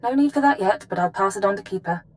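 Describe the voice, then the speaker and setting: A woman voices an intelligence officer in a sci-fi game. She delivers her briefing at a medium pitch. Her manner is formal, efficient and businesslike. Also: lively intonation, fast talker, lightly acted. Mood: brisk, professional.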